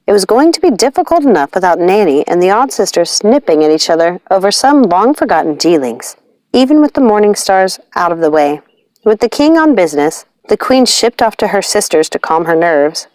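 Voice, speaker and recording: A woman reading a fantasy storybook aloud in an expressive narrating style. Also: on the low side, fairly quick, no echo. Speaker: audiobook reader.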